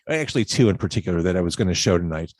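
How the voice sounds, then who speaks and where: A man hosting a podcast interview over a video call, chatty and quick to laugh at his own fumbles. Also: deep voice, animated, no room sound. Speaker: podcast host. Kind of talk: casual interview chat.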